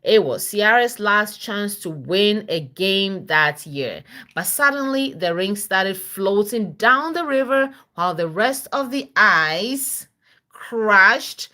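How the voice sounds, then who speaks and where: A woman's voice: medium pitch, expressive, takes it slowly, pushed and bright. A woman, a mother, reading a story aloud to her child with simple explanations and little check-in questions.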